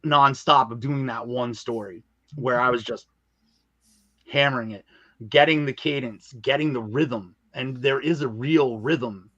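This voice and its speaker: A man's voice: mid-range voice, measured pace, fairly bright tone, no echo, lo-fi sound. A man with a mid-range voice talks casually as a podcast guest. He leans hard on points, repeating phrases in informal, slangy wording. The sound is dry and close. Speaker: guest, audio drama creator and narrator. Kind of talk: casual podcast interview chat.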